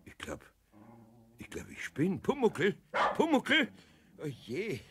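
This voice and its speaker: Homely older craftsman, children's radio play: flustered, slightly high for a man, muttering, faint noise. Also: huge pitch swings, slow, full character voice. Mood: alarmed, bewildered. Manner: exclaiming, muttering in dismay.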